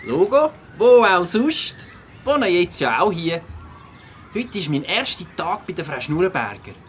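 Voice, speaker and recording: A woman's voice: fairly low pitch, very animated, measured pace, slight background noise. Woman playing a laid-back schoolboy, Swiss German. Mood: easygoing. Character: Mike, laid-back friendly new schoolboy. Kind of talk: children's audio play acting.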